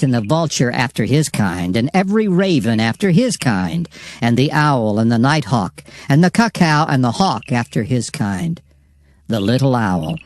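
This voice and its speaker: A man giving a dramatized King James Bible reading in a solemn, declamatory and emphatic manner. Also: medium pitch. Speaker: scripture narrator. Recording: music behind the reading. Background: background music.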